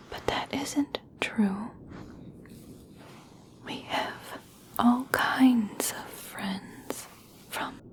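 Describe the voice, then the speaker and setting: A woman playing a gentle, caring, curious young elf princess in a whispered, soothing ASMR personal attention roleplay. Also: mid-range voice. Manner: soft, slow, soothing whisper.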